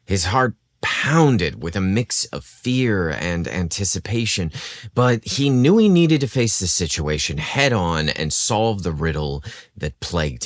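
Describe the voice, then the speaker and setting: A man reading a scripted romance story as a podcast narrator, in a dramatic, expressive storytelling manner. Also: sits low, dry recording.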